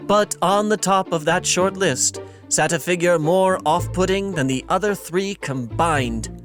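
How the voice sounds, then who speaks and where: A man's voice: high-pitched, a little slow. A man narrating a scripted fantasy audio drama in a wry, dramatic storytelling manner. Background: music.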